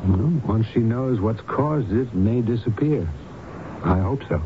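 An actor playing a calm, authoritative doctor and hypnotist in a radio drama, speaking in a measured, reassuring, professional way. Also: male voice. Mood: weary, matter-of-fact. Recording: dull, noisy vintage radio broadcast with rumble.

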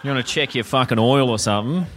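An Australian man co-hosting a comedy podcast live on stage, his delivery blunt, sweary and deadpan. His voice sits at an ordinary pitch for a man. A faint hiss of noise lies under the recording. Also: quick pace. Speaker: podcast co-host. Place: live show in front of an audience.

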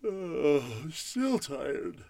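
A woman's voice: low voice, sing-song, very slow, warm tone, no room sound, heavily acted. An actress playing a frightened, groggy woman trapped at home, in old-time radio horror style, stammering and dramatic. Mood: drowsy. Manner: stammering, breathless exclamations, dramatic.

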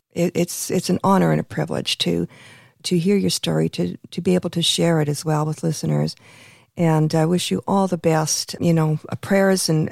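A woman's voice: lowish voice, gravelly, a little mellow, no room sound, clean studio sound. A woman hosting an interview, speaking effusively with frequent restarts. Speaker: podcast host. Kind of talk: interview over a call.

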